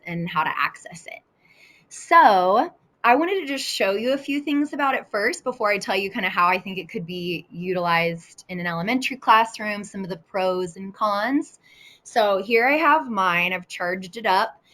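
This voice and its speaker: A woman's voice: mid-range voice, a bit bright. An American woman with a mid-range voice presents like a teacher in an online session. Her delivery is informal and conversational, as if walking colleagues through a demonstration. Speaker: elementary school teacher presenting a tutorial. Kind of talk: casual webinar demonstration. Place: live online video session.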